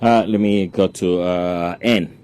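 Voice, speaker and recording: A man hosting a radio interview in a halting way, restating things as he introduces guests and puts questions. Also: deep voice, fairly even intonation, darkish tone, clear, resonant tone.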